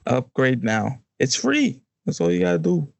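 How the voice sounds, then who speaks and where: A man's voice: mid-range voice, wildly swooping pitch, normal speed, vocal fry, darkish tone, no echo. A man co-hosting a podcast, chatting casually in a joking, emphatic and informal way. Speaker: podcast co-host. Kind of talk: casual chat.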